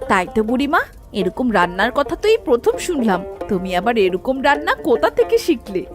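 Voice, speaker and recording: A woman's voice: on the high side, sing-song, a little fast, over music. A woman playing an amazed, inquisitive village neighbour in a fairy-tale cartoon, full of exclamations. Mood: amazed, curious. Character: amazed, curious village neighbour eager to eat.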